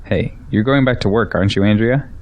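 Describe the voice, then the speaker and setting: A man's voice: lowish voice, a little fast, highs cut off, natural voice. A young man playing a pragmatic, defensive arguer in an audio drama, with an argumentative delivery. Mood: skeptical. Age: young adult.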